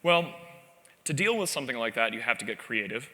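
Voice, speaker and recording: A man giving a live conference talk, telling stories in an animated, wry way with self-deprecating asides, speaking from a stage in a large hall. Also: mid-range voice, fairly quick. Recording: long reverb of a large hall.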